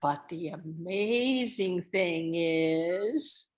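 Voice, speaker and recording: A woman co-presenting a children's read-aloud, thinking aloud and asking expressive questions. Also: lowish voice, very slow. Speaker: reading teacher, co-presenter. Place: online video session.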